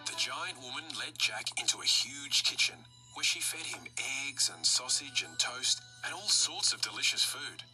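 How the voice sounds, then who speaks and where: A man's voice: medium pitch, moderate pace, hardly any pauses, rough recording. A man reading scripted narration for a children's storybook. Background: music.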